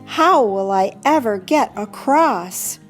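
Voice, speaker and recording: Woman voicing a very slow, dramatic little storybook bee. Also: medium pitch, animated, soft background music. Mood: dismayed. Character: greedy little male bee, dramatic, remorseful, kind-hearted.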